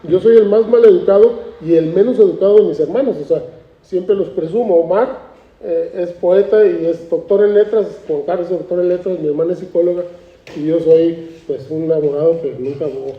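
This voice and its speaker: A man speaks in a fairly high voice, circling back and restarting his sentences as he tells his stories. The recording is roomy and a little dull, as if captured in an event hall. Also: a little fast. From Mexico, Tijuana border. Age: 60s. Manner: relaxed storytelling with frequent restarts and repeated words. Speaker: artist, book author. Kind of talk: conversational book presentation. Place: cultural institute event room.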